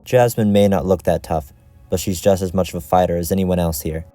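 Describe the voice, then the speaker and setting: A man voicing a principled, idealistic outcast vigilante leader in an amateur animated series, giving earnest little speeches. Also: low voice, fairly quick, faint music behind, lightly acted. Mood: earnest, reassuring.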